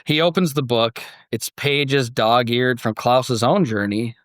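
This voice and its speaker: A man's voice: medium pitch, unhurried, dry recording, studio quality. A man hosting a podcast, moving between conversation and scripted story narration. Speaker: podcast host.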